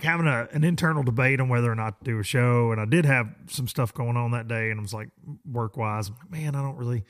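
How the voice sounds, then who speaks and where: Hoarse guy rambling on his podcast, folksy, pokes fun at himself, voice kinda shot. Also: mid-range voice. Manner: folksy, self-deprecating, rambling with restarts. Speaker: podcast host. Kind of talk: casual rambling monologue.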